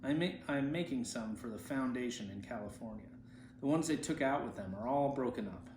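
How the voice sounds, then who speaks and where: Aged monk read by a man, seventies, humble and chatty, plain-spoken storybook reminiscing, mid-pitched, roomy sound. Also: expressive, a little fast, a little mellow, close to an everyday voice. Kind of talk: storybook reading of quoted speech. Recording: roomy echo, faint background noise.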